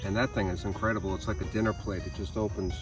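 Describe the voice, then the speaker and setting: A man's voice: on the low side, steady tone, fast talker, music in the background. Easygoing Massachusetts man outdoors. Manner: enthusiastic, easygoing, chuckles often. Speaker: garden visitor. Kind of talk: casual interview about flowers. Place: outdoors in a sunny garden.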